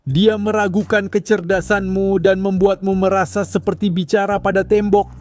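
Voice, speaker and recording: A man's voice: sits high, little pitch movement, normal speed, a little mellow, soft background music. A man giving comic, sarcastic scripted narration with an exaggerated ranting delivery.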